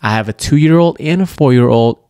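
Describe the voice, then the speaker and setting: A man's voice: medium pitch, brisk, dark tone, clear, resonant tone, dry recording. Guy in his 30s from malaysia, playful podcast cohost, casual chat, dry close sound. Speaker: podcast co-host. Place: small indoor room at a table. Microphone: tabletop podcast microphone on stand.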